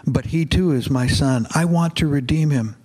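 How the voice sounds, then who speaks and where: A man reading aloud in the voice of God as a fatherly figure, calm, gentle and patient. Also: on the high side, moderate pace, breathy edge.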